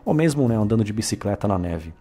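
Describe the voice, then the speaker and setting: A Brazilian man in his thirties, telling his story in a relaxed, casual way with many filler tags and small self-corrections. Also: fairly low pitch, quick pace, noisy background. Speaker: vlogger. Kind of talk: casual personal narration to camera.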